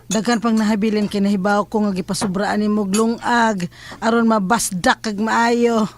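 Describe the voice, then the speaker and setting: A woman's voice: mid-range voice, steady tone, normal speed, close to an everyday voice. A woman playing an attentive mother in an audio drama, talking in a conversational, coaxing way. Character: attentive mother serving a meal, Amanda. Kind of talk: radio drama dialogue.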